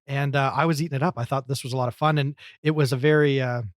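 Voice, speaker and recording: A man's voice: medium pitch, steady tone, fast, dry recording, clean studio sound. A man co-hosting a podcast, offering conversational commentary and introductions. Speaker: podcast host. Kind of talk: podcast discussion.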